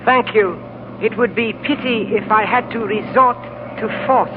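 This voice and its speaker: A man playing a menacing hijacker pilot who issues slow, stilted, coldly polite orders at gunpoint, in old-time radio drama style. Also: sits high, phone-quality sound, close to an everyday voice. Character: grim, menacing hijacker pilot holding a revolver. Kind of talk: 1930s radio acting. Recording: scratchy vintage radio broadcast with music.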